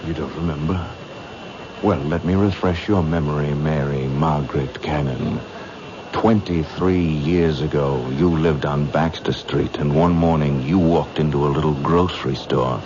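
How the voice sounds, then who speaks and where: A muffled, noisy old radio drama recording carries a cold, vengeful killer. His low voice moves slowly and deliberately, taunting and ominous with every phrase. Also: steady tone. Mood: taunting menace. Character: menacing, vengeful male killer, coldly bent on a promise. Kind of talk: 1970s radio drama acting.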